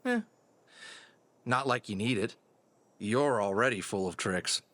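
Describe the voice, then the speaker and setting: A man voicing a young, teasing, excitable fox adventurer in a cartoon audio drama. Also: fairly low pitch, measured pace, slight background noise. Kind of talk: animated audio drama acting.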